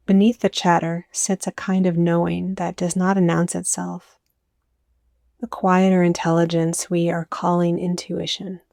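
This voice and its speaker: A woman with a dark, relaxed voice that stays very even in pitch. She narrates a guided meditation in gentle, soothing invitations, recorded close and dry. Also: gravelly, no room sound. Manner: soothing, unhurried phrasing with soft invitations.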